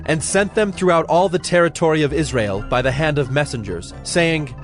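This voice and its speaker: A man's voice: on the high side, moderate pace. Solemn male scripture narrator over music.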